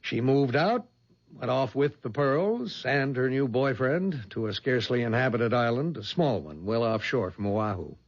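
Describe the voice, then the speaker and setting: A businesslike american detective agency chief, husky voice, very animated pitch, old lo-fi radio play sound. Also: male voice, moderate pace. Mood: matter-of-fact recounting. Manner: businesslike, explanatory. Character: brisk male head of a detective agency briefing an agent. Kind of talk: 1970s American radio drama acting. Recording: dull lo-fi 1970s radio recording.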